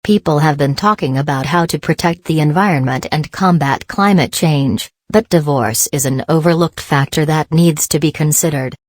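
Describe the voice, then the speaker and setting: A woman reading practice passages aloud in a measured, scripted way. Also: low voice, darkish tone, no room sound, studio quality. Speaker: exam practice reader. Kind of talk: read-aloud practice narration.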